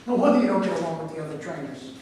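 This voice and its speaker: A man's voice: fairly high pitch, expressive, racing, darkish tone, lightly acted. In a very echoey room, picked up from a distance with faint noise, an actor plays a male newspaper reporter in a stage drama. He is earnest and defensive, pleading like a hurt old flame. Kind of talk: staged play reading.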